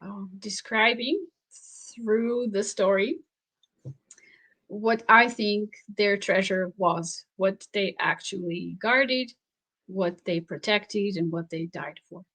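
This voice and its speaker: A woman's voice: mid-range voice, fairly bright tone, rough recording. Thoughtful woman author, native Slovak speaker. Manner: thoughtful, halting, laughs often. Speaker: author and researcher guest. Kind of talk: interview conversation.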